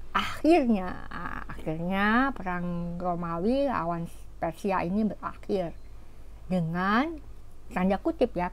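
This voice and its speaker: A woman's voice: mid-range voice, a little hiss or hum. A woman giving an informal history talk, explaining in a conversational way with rhetorical asides. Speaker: history storyteller. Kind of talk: informal spoken history lecture.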